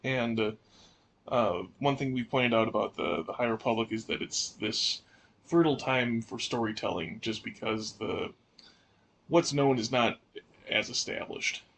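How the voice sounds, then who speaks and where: A man's voice: medium pitch, unhurried, gravelly, a bit bright. A man co-hosting a podcast in casual discussion, adding thoughts and posing questions. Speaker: podcast co-host. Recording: faint background noise.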